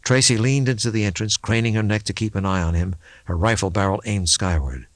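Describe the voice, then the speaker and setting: A man reading a suspense novel as an audiobook narrator, in a measured, dramatic way. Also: fairly low pitch, no echo.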